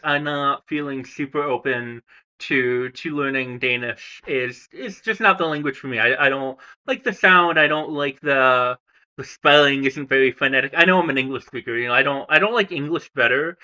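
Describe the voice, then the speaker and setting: A man's voice: mid-range voice, sharp tone, lo-fi sound. A man from the United States talking casually about languages and countries, hesitant and full of fillers and restarts. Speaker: language vlogger. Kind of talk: casual rambling commentary.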